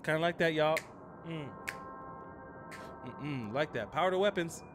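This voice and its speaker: A man's voice: on the high side, animated, a little slow, music in the background. A man streaming a game, giving short clipped voice commands and slangy casual asides.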